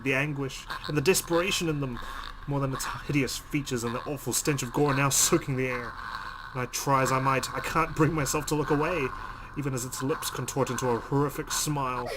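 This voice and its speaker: A man's voice: medium pitch, fairly even intonation, fairly quick, natural voice. A man reading aloud the part of a shaken, exhausted young woman driver in a horror visual novel, narrating dramatically. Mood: panicked. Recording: noisy home recording.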